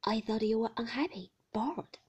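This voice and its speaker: A woman reading a novel in a breathy whisper, voicing the lines of a troubled, guarded older husband. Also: fairly high pitch, moderate pace, darkish tone, lo-fi sound. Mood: hurt.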